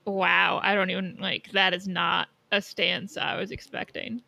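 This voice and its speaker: Female co-host, crisp bright edge, fry-laden, emphatic casual chat, very dry recording. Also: on the low side, level tone, measured pace, dry recording. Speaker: podcast co-host.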